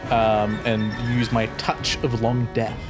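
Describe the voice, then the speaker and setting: A man's voice: on the low side, level tone, slow pace. A man chatting casually as a player in a tabletop game. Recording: music behind the voice. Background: background music.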